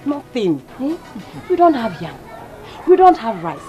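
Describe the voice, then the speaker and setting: A woman's voice: on the high side, big pitch swings, a little slow, dark tone. A woman playing a poor village woman who begs emphatically and repeats herself. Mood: pleading. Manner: pleading, emphatic, repetitive. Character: pleading indebted village woman, dramatic. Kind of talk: skit acting.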